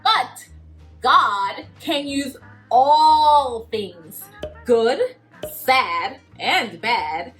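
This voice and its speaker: A woman hosting a children's Bible lesson, cheerful and animated, laughing often as she talks to kids. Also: high voice, very slow, soft background music. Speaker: children's story host.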